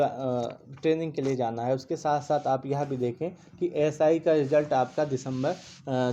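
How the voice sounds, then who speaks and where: Man, young adult, exam coach, casual lesson, mellow dark voice, repeats himself. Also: mid-range voice, dull audio, a little hiss or hum. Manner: earnest, repeats himself, restarts mid-word. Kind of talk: casual spoken lesson to students.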